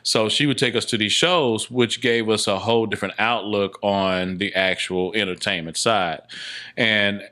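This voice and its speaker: A low-voiced man from Texas chats as a guest on a podcast. He tells his stories in a casual, conversational way. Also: steady tone, moderate pace, pushed and bright. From Dallas, Texas, United States. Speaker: podcast guest, filmmaker and musician.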